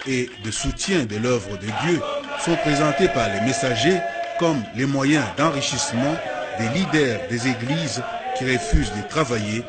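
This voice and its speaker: A man's voice: mid-range voice, measured pace. A man reading scripted documentary narration as a reporter. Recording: loud music and crowd behind. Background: loud music, crowd.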